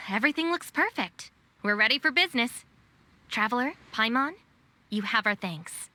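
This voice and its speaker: A woman's voice: a little high, animated, normal speed, pushed and bright. A woman voicing a composed, practical young gang deputy in a video game, speaking politely and matter-of-factly. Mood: satisfied.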